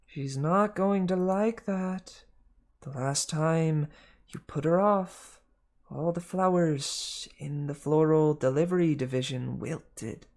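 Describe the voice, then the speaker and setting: A reader doing a higher-pitched, chiding female snake. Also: takes it slowly, a little mellow. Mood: chiding, bickering. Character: scolding, bickering female talking snake, secretary-like.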